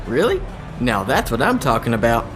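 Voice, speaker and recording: Goofy excitable buddy agent, folksy, voice bounces all over, music underneath, audio comedy. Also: male voice, medium pitch, normal speed. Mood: excited. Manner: folksy, enthusiastic, colloquial. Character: goofy, excitable, good-natured male agent. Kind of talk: comedic audio drama acting.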